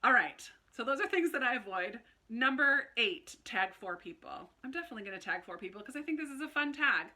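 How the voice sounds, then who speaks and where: A woman's voice: fairly high pitch, animated, a little fast, hardly any pauses, sharp tone. A woman talking casually and chattily to viewers as a book vlogger, with an informal manner. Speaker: book vlogger, channel host.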